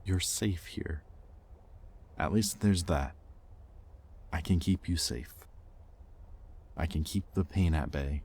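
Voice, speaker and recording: A man playing a possessive, conflicted demon in an intimate audio roleplay, with halting, sardonic delivery and secret tenderness. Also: low voice, darkish tone, breathy edge, full character voice. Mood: pleading, regretful. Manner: intimate, halting, sardonic, with sighs and muttered curses. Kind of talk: dramatic audio roleplay addressed to the listener.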